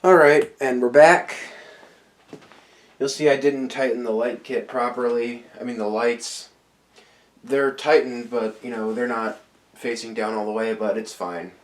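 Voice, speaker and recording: A man's voice: medium pitch, steady tone, a little slow. A man casually showing off a ceiling fan in his collection, talking in a rambling, hesitant way, sounding a bit nasal and tired after dental work. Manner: rambling, hesitant, with many restarts. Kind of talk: casual show-and-tell vlog. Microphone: camera on a tripod.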